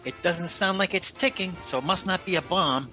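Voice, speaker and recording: A man's voice: high voice, moderate pace, loud music behind the voice, close to an everyday voice. An actor playing a brash, wisecracking male Christmas elf in an old-time radio comedy. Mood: wry, impressed.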